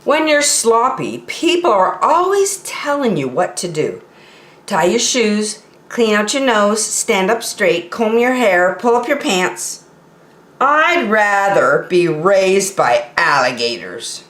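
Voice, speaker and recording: A woman's voice: medium pitch, big pitch swings, slow pace, fairly bright tone, roomy sound. A woman voicing a proud, jokey, sloppy young boy from a children's storybook in a playful, eager manner. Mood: boastful.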